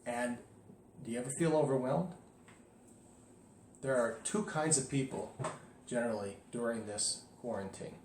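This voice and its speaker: A man's voice: medium pitch, a little slow, pauses often, a little mellow. A man, a priest, speaking casually and candidly to his parishioners in a home video, with emphatic rises. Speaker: Orthodox priest. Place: indoors at home. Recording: echoey room with faint noise.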